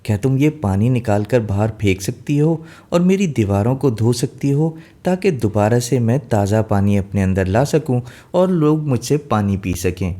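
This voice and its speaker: A man's voice: mid-range voice, animated, normal speed, few pauses, dark tone, close to an everyday voice. A man voicing a polite, kindly old water well in a storybook tale, speaking earnestly. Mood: pleading.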